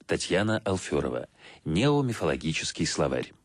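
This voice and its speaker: An actor playing a solemn narrator who reads a dictionary of myths for a radio drama, formal and measured. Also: male voice, rumbling low, big pitch swings, exaggerated voice. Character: solemn male narrator reading a mythological dictionary entry. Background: music.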